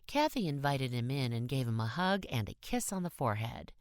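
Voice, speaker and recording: A woman's voice: a bass voice, very animated, brisk, dry recording, clean studio sound. A woman reading a short story as an audiobook narrator in a scripted style.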